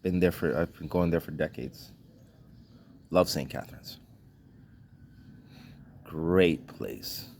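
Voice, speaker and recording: A man's voice: low voice, takes it slowly, gravelly, pauses often, darkish tone, a little hiss or hum. A man from Trinidad and Tobago delivering a blunt, indignant vlog rant in short clipped sentences, swearing freely. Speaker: vlogger. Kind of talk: ranting monologue.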